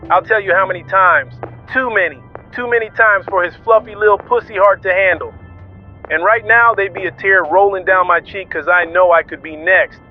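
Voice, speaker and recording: Male actor as slangy street DJ: high voice, swaggering and melodramatic, tinny radio sound with music behind. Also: moderate pace, natural voice. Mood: mock heartbreak. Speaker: radio DJ host. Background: music and vehicle noise.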